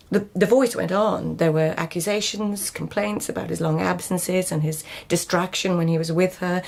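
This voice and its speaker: A haunted court lady in a literary radio drama. Her voice is low and husky with a creaky edge, often sinking to a breathy near-whisper as she shapes each phrase deliberately and with intense devotion. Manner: hushed, deliberate, haunted storytelling.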